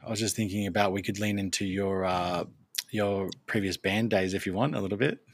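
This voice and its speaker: Deep-voiced male podcast host. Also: fairly quick, a little hiss or hum. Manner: encouraging, brief interjections. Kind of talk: casual interview chat.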